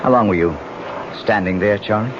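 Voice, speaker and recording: A man's voice: lowish voice, moderate pace, natural voice. An American actor playing a pushy, brooding lodger in a radio drama, blunt and insistent in his delivery. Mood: curt, suspicious. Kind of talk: 1970s radio drama acting. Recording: dull, noisy 1970s radio broadcast recording.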